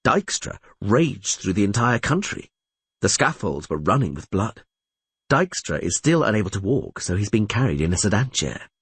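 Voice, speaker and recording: Man acting an animated, grave male bard. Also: lowish voice, normal speed, husky, dry recording, heavily acted. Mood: grave recounting. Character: male bard recounting grim political news, animated.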